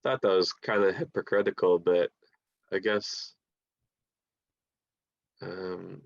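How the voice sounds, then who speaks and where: A man's voice: deep voice, steady tone, slow pace, creaky, no echo, highs cut off. A man whose first language is Tagalog, chatting casually about a film and filling his talk with "like". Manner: rambling, fills speech with "like".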